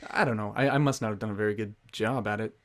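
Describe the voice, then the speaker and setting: An actor playing John, a young writer who is gentle, placating and unsure of himself. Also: male voice, on the low side, fairly even intonation, fast, natural voice. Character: mild young male writer John, self-doubting peacemaker.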